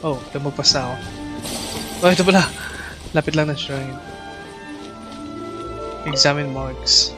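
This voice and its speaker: A man's voice: fairly high pitch, normal speed, pauses often. A man with a Filipino accent and Tagalog as first language, chatting casually and commenting as a game streamer. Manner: reads game dialogue aloud, mixes two languages. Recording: game music behind the voice.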